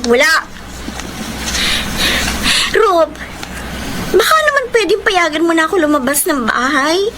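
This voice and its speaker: A woman's voice: very high-pitched, expressive, unhurried, long pauses, background noise. A woman with a Filipino accent acting a battered, secretive young girlfriend in a radio drama, plaintive and hesitant. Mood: timid.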